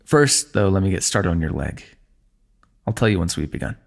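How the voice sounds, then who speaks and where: A man voicing a kingdom's ruler who gently chides and teases a wounded companion while tending his injury, in an intimate fantasy roleplay. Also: low voice, big pitch swings, moderate pace, creaky, background noise. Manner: gentle, chiding, teasing, close and intimate. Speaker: ASMR roleplay performer. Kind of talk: scripted fantasy ASMR roleplay.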